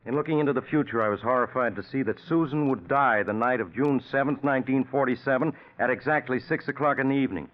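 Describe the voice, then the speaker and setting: An actor playing a grave, concerned friend who believes in omens in a 1940s radio suspense drama, speaking in a solemn, earnest, deliberate way. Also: male voice, mid-range voice, natural voice. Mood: urgent, foreboding. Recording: tinny, narrow vintage 1940s radio recording.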